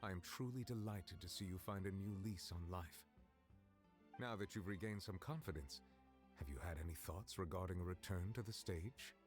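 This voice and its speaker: A man voicing a dignified, courteous high official in a video game, speaking in a formal, measured, polite manner. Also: soft background music, close to an everyday voice. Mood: encouraging. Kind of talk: scripted video game acting.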